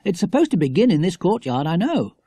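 A man voicing a brisk, inquisitive English schoolboy leader in a storybook, reasoning aloud with emphasis. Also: high-pitched, very animated, mellow, slightly rough, dry recording, voice pushed up, exaggerated voice.

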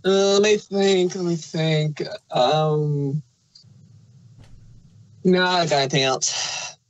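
A man's voice: on the high side, fairly even intonation, slow, muffled recording. A man chatting casually as a panelist on a review show. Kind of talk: casual chat.

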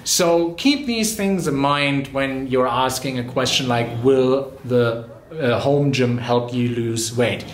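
A man's voice: mid-range voice, slow, slight background noise. A man in his thirties talking casually to the camera about fitness, explaining with frequent "you know" and self-corrections, recorded in a home gym. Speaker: fitness vlogger. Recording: echoey room.

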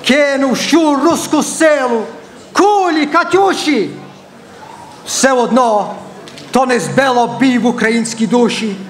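A man's voice: very high voice, takes it slowly. A man acting a pompous, melodramatic dictator in a satirical stage monologue in verse. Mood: self-pitying lament.

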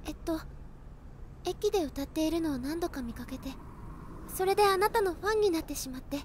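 An actress playing a shy, polite high school girl in an audio drama, speaking hesitantly. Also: lively intonation, brisk, slight background noise. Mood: shy, earnest.

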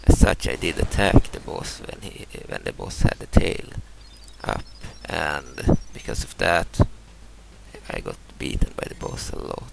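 A man in his thirties from Sweden, a native Swedish speaker, giving casual commentary over a video game, halting and restarting, explaining each move. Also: a bass voice, big pitch swings, gravelly, background noise. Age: 30s. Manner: halting, repeats words and restarts, explains moves step by step. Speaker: gameplay commentator. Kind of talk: casual let's-play commentary.

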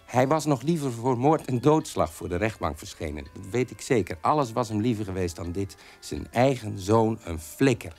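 Bitter, defiant son, animated radio monologue. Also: male voice, fairly low pitch, normal speed, hardly any pauses, faint music behind. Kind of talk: Dutch radio drama monologue.

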